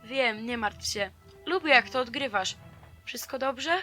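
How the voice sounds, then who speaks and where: A woman voicing a supportive, loyal young female companion in an animated fan dub, gentle and reassuring. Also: on the high side, measured pace, faint music behind. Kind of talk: fan-dubbed animation dialogue.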